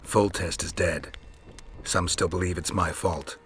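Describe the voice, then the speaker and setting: An actor playing a stoic, blunt monster-hunting swordsman in a fantasy game, terse and deadpan. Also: low voice, a little slow, slight background noise. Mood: flat, terse. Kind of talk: scripted fantasy game dialogue.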